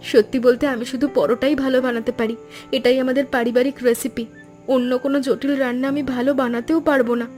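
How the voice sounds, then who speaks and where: A woman voicing an earnest, polite college-age granddaughter in an animated cartoon story. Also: a little high, fast talker, soft background music, lightly acted. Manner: polite, earnest, speaks in full careful sentences.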